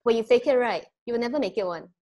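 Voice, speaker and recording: A woman's voice: a little high, lively intonation, rapid-fire, darkish tone, muffled recording. A woman, a TV presenter chatting and laughing on a video call from home, her nose a little congested. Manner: laughs often, mixes in Mandarin. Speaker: TV presenter, guest. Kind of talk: casual video-call chat. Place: home room, indoors. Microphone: video call, earphone mic.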